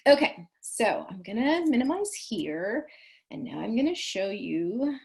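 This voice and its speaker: Woman trainer, a bit raspy, folksy and conversational on a video call. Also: on the high side, very animated, unhurried. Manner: chatty, folksy, frequent ums and restarts. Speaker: counselor trainer leading a webinar. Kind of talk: online training presentation.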